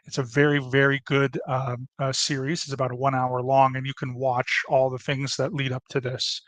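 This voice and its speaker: A man's voice: medium pitch, level tone, moderate pace, fairly bright tone, no room sound. A man presenting a history topic on a casual podcast, with many fillers and restarts.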